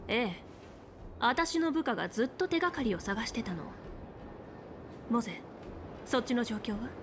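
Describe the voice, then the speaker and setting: Video game role: confident female general, higher register, composed strategist, slight noise behind. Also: big pitch swings, normal speed. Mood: composed, instructive. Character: confident, commanding woman general, calm seasoned strategist. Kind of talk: Japanese video game voice acting.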